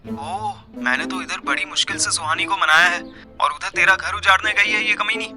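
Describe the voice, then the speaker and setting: A man's voice: high-pitched, brisk, music in the background. A man narrating an audio story in the first person, colloquial and dramatic, mixing in slang. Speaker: narrator and host.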